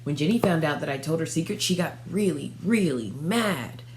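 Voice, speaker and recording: A young woman reading a children's storybook aloud, narrating expressively in the first person with dramatic pauses. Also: low voice, expressive, darkish tone, slight background noise. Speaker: storybook reader. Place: small furnished room at home.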